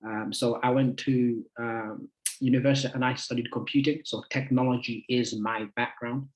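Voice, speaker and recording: A man's voice: medium pitch, fairly even intonation, low-quality recording. Chatty young man in his twenties. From United Kingdom. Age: 20s. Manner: friendly, chatty, halting with many fillers. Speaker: guest speaker, trader. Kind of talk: casual talk to an online group.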